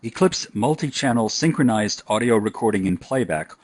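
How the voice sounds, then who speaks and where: A man narrating a scripted software tutorial in an instructional style. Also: fairly low pitch, lively intonation, moderate pace.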